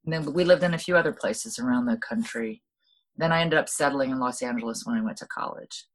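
A middle-aged woman from Hawaii, a guest in an interview, explaining her background thoughtfully with many pauses and restarts. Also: extremely low pitch. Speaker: guest, food policy founder. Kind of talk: interview storytelling.

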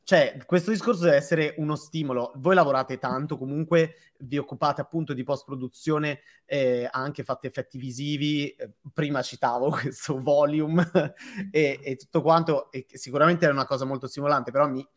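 A man's voice: on the high side, fairly even intonation, normal speed. A man hosting a film talk stream in casual chat, digressing and thinking aloud.

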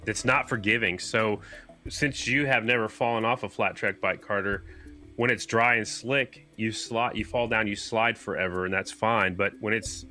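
A man's voice: fairly low pitch, fairly even intonation, normal speed, bright, forceful tone, soft background music. A man co-hosting a podcast, explaining race details in casual commentary. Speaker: podcast co-host.

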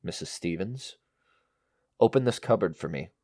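An actor playing a greedy, short-tempered schemer in a melodramatic radio play, brooding and domineering. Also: male voice, deep voice, lively intonation, moderate pace, a little mellow. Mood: cold, menacing. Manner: brooding, muttering to himself, domineering. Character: scheming, greedy, short-tempered man hunting a hidden inheritance.

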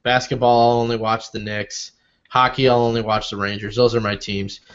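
A man in his thirties answering interview questions in a relaxed, rambling, measured way. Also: fairly low pitch, smooth, muffled recording. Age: 30s. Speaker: interview guest. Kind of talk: casual interview talk.

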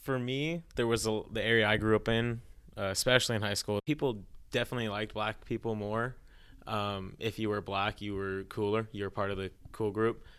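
A man's voice: fairly low pitch, fairly even intonation, fairly quick, fairly bright tone, clear, resonant tone. A young man with an American accent, giving a reflective personal account as a podcast guest. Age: young adult.

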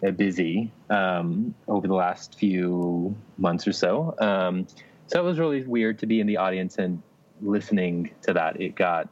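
A deep-voiced young man chats as a podcast co-host. He tells stories hesitantly, with understated dry humour, over slightly muffled audio. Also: unhurried. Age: young adult. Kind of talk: casual chat and anecdote.

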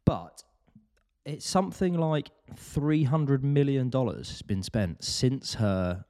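A man's voice: medium pitch, slow pace, warm tone. A man co-hosting a podcast, chatting in a wry, easygoing way and laughing often. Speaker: podcast co-host. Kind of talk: casual podcast chat.

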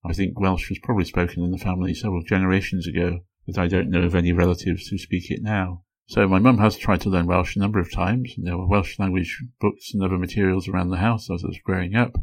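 Podcast host: a man with a deep, slightly husky voice, measured and methodical as he explains. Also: flat delivery, poor audio. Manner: measured, explanatory, works through points one by one. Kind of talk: solo educational podcast talk.

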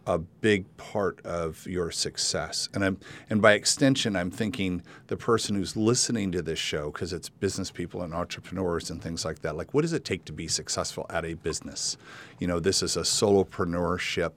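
Deep-voiced man hosting an interview. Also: moderate pace, fairly bright tone. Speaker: podcast host. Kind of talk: conversational interviewing, thinking aloud.

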